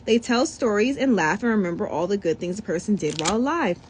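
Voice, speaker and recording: A woman reading a children's picture book aloud, animated, sometimes tripping over words and adding her own comments. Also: mid-range voice, quick pace, a little hiss or hum. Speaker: storybook reader.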